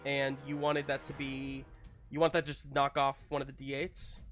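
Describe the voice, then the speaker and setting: A man narrating a tabletop superhero game as game master in a casual, halting way, often searching for his words. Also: medium pitch, completely flat delivery, a bit bright, soft background music.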